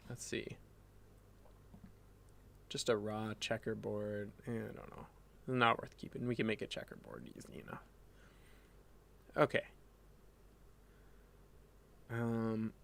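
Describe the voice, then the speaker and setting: A man's voice: medium pitch, creaky, a bit bright. A man, a little phlegmy, designing live and musing aloud in a rambling casual way with fillers and restarts, at a desk mic in his home workspace. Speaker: graphic designer streaming his work.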